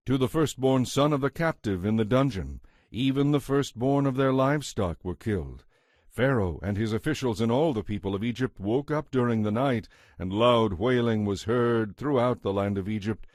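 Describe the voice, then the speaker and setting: A man narrating scripture in a solemn, measured and authoritative way, as part of a dramatized reading. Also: lowish voice, darkish tone, no room sound, studio quality. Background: background music.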